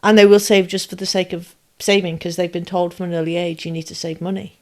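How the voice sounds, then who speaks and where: A woman's voice: lowish voice, level tone, quick pace. A woman, explaining in casual podcast chat, often checking that her listener follows. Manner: explains with lists and comparisons, asks if it makes sense. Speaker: podcast co-host.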